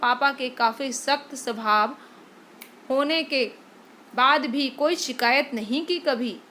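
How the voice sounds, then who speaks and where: A woman voicing an irritable young husband who scolds his wife loudly in a storybook reading. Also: high voice, takes it slowly, slight background noise, heavily acted. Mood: scornful. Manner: harsh, complaining, loud.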